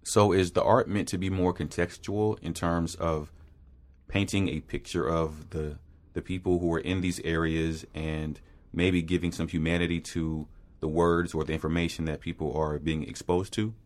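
Deep-voiced male interviewer. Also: flat delivery. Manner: measured, probing questions. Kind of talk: interview questions.

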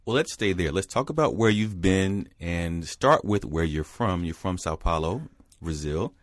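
Deep-voiced curious male interviewer. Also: animated, a little slow, no echo, studio quality. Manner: curious, inviting. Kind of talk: interview questions.

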